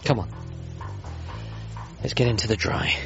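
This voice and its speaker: An actor playing a kindly, bereaved grown son who talks casually and with wry resignation in a radio comedy drama. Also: male voice, fairly low pitch, lively intonation, unhurried, creaky, full character voice. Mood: relieved, wry. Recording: muffled broadcast, faint music.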